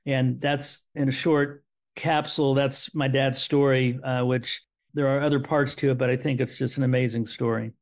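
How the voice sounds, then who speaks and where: A man's voice: mid-range voice, level tone, normal speed. A middle-aged man, whose was Hebrew, telling a family story as an interview guest in an earnest way that repeats words and restarts. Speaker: interview guest, son of survivors. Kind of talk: conversational storytelling.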